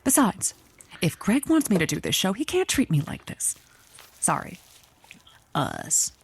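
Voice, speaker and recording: A woman's voice: lowish voice, huge pitch swings, unhurried, vocal fry, breathy edge, heavily acted. Theatrical female radio advice host. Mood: dejected. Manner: theatrical, punning, coaxing. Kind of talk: comedic radio show acting.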